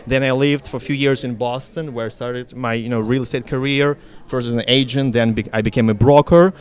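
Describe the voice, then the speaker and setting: A young man with a heavy Russian accent talks through an interview in a mid-range voice, rambling and leaning on "you know". He sounds relaxed and chatty. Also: mid-range voice, brisk. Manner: talkative, fills speech with "you know". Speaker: interviewee, real estate broker. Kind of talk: casual interview.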